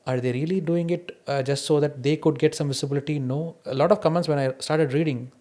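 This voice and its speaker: A man's voice: a little high, steady tone, quick pace, darkish tone. A man with an Indian accent, a non-native English speaker, talking candidly and reflectively about his own experiences as a podcast host. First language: an Indian mother tongue, not English. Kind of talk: candid conversation, personal anecdotes.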